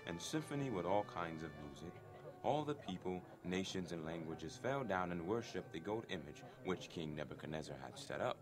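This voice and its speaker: A man narrating a dramatized scripture reading in a measured, solemn manner. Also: deep voice.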